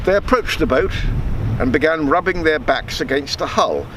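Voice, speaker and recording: An older man reading a true sea tale aloud as a storyteller, measured, sometimes stumbling and repeating words. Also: a little high, expressive, noisy background. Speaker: storyteller reading his book.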